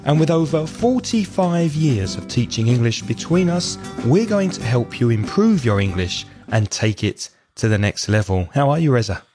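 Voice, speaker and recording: Male English-teacher podcast host, currently croaky and raspy, explains things plainly. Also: medium pitch, big pitch swings, normal speed, hardly any pauses, music in the background. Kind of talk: casual podcast chat.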